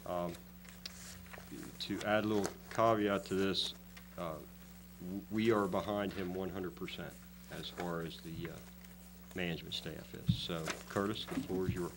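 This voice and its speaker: Male town official, very low voice, meeting discussion over noticeable hum and noise. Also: flat delivery, moderate pace. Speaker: town management staff member. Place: council meeting room. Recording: loud hum and room noise.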